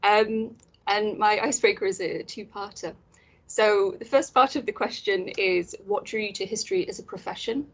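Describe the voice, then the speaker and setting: A woman's voice: mid-range voice, steady tone, normal speed, low-quality recording. Hesitant young woman interviewer. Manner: hesitant, hedging with fillers. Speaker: interviewer, early career researcher. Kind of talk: conversational interview.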